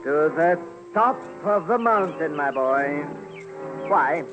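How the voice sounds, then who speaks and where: A wry, patient Frenchman, fairly high for a man and very unhurried. His radio drama lines come through a dull old recording. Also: lively intonation, music in the background. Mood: patient, indulgent. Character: patient, wry French brother-in-law climbing mountains.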